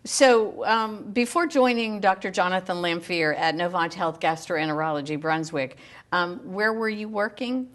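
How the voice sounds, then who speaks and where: A woman's voice: fairly low pitch, normal speed, a bit bright. A woman hosting a local TV health program, interviewing guests in an upbeat, encouraging way. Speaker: TV health show host.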